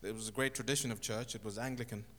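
A middle-aged man from Zimbabwe, a guest telling his life story in an interview, with thoughtful, halting speech and many restarts. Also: fairly low pitch, fairly even intonation, a bit bright. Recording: echoey hall with faint noise.

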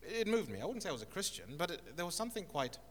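A middle-aged man from Zimbabwe, a guest telling his life story in an interview, with thoughtful, halting speech and many restarts. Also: high voice, very animated. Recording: echoey hall with faint noise.

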